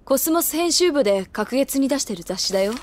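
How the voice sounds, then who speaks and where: A woman playing a sensible, protective older high school girl in an anime audio drama, level-headed and gently scolding. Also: animated, fairly quick, background noise. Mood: resigned.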